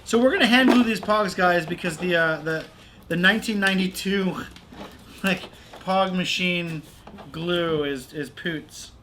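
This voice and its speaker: A man's voice: high voice, measured pace. A man hosting a casual crafting livestream, with a playful, informal manner. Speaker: livestream host. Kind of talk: casual chat while doing crafts. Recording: some background noise.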